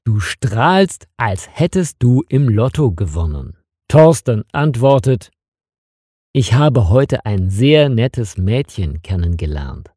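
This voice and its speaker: A man narrating a detective story slowly and clearly for language learners, with dramatic pauses. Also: mid-range voice, big pitch swings, warm tone, no echo, clean studio sound. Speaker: narrator of a German learning channel.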